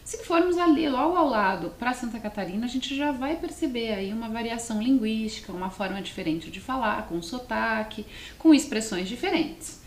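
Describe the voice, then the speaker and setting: A woman's voice: on the high side, animated, moderate pace, few pauses. Woman, southern Brazilian accent, teacher, explanatory and questioning, echoey room, faint background noise. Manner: explanatory, often asks questions and adds checking tags. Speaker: language teacher presenting a televised class. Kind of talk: didactic lesson addressed to students.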